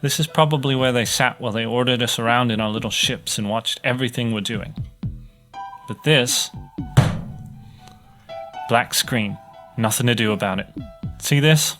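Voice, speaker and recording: A man's voice: medium pitch, moderate pace, long pauses, natural voice. A young man acting as a bitter, overworked student technician in a sci-fi audio drama, griping sarcastically through a monologue. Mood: bitter, frustrated. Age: young adult. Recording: music behind the voice.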